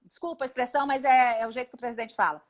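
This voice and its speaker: A woman in her forties with a Brazilian Portuguese accent, a congresswoman giving a live video interview in an emphatic, indignant way, often speaking in rapid lists. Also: fairly high pitch, fairly even intonation. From Paraná, Brazil. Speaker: congresswoman guest. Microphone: video call.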